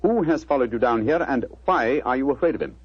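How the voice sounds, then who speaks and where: An actor playing a sardonic, precise English gentleman detective with a British accent and clipped, theatrical delivery in a 1940s radio drama. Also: medium pitch, animated, brisk, husky, dry recording. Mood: courteous, brisk. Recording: dull vintage 1940s radio recording.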